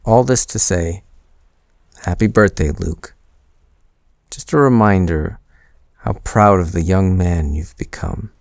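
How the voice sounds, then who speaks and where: A man playing a shy, sentimental young man in an intimate boyfriend audio roleplay, speaking hesitantly and teasingly with a creaky voice. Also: sits low, slow pace, long pauses, dry recording, studio quality, heavily acted. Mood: tender. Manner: hesitant, soft-spoken teasing, stammering.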